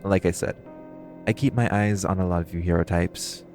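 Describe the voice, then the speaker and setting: Man playing a low-voiced flirty supervillain. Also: steady tone, darkish tone, soft background music. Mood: coaxing, flirty. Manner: casual, teasing, conversational with playful pauses. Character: flirty, cocky male supervillain thief, teasing and curious. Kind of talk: intimate audio roleplay addressed to the listener.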